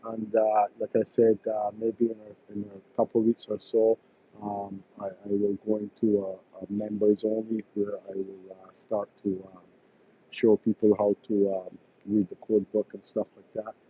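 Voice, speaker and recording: A man from Barbados runs a casual live stream, chatting hesitantly with viewers and pausing on many ums and uhs. His voice is low and flat, with little rise and fall, and the lo-fi stream audio sounds dull. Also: husky. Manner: hesitant, many fillers and restarts. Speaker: electrician hosting a live stream.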